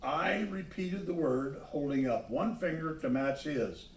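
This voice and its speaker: Man, children's storybook narration, slow pace, asides on pictures, distant mic, echoey room. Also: fairly high pitch, lively intonation. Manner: pauses to point out the pictures. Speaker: storybook reader.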